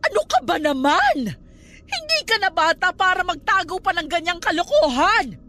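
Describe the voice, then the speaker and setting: High-pitched, scolding Filipino aunt. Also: female voice, very animated, moderate pace, sharp tone, slight background noise, full character voice. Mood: exasperated scolding. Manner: scolding, dramatic, emphatic. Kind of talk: radio drama acting.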